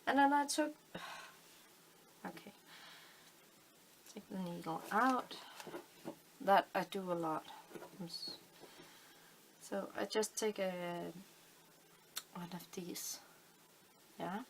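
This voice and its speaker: Danish woman, middle-aged, craft tutorial host; slow, fry in the voice, a faint room hiss behind her. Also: medium pitch, low-quality recording. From Denmark. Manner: unhurried, thinking aloud with many fillers. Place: indoors at a table, hands on camera.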